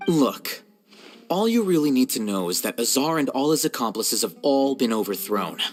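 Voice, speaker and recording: An actor voicing an aloof, intellectual male scholar, calm and measured, with a dry, matter-of-fact manner in a video game. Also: mid-range voice, soft background music, close to an everyday voice. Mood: dry, dismissive.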